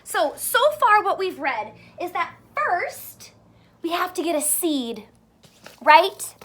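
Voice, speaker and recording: High-pitched woman reading slowly to children. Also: very animated, a bit bright. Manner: instructive, asks questions and explains simply to young listeners. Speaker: teacher reading aloud to children. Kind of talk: children's picture-book read-aloud with explanatory asides.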